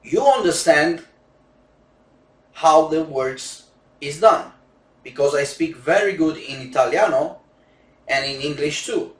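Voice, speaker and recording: A man with a Romanian accent, a native Romanian speaker using broken English, giving a slow, emphatic sales pitch for roulette courses. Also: expressive. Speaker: roulette instructor selling courses. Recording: echoey room, distant mic.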